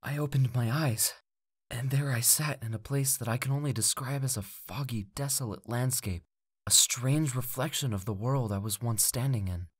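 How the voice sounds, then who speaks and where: A man voicing a driven wandering mage who tells his own life story in measured, theatrical storybook narration. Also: medium pitch, breathy edge, natural voice. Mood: hushed, awed. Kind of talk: dramatic first-person fantasy story narration. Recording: music under the voice. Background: background music.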